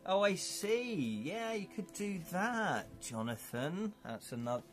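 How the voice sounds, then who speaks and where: A man with an English accent hosting a casual live stream, chatty and excitable, chuckling often as he unboxes things at home. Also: high voice, measured pace, faint music behind. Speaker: livestream host.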